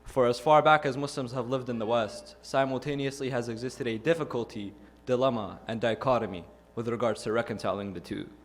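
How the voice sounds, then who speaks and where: A young man from Iraq delivers a prepared talk at a middle pitch. He speaks in an earnest, measured way and poses rhetorical questions to his listeners. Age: young adult. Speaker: youth speaker. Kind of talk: prepared speech.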